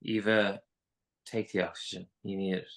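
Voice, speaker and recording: A man acting an injured, urgent man in a live screenplay table read, with a raspy, gravelly voice. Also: on the low side, level tone, normal speed. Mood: concerned, urgent. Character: injured, breathless man urging his companion onward.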